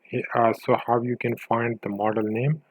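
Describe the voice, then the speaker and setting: A man presenting a screencast software tutorial as a developer, explaining step by step in halting, pieced-together phrases. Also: mid-range voice, little pitch movement, dry recording, rough recording.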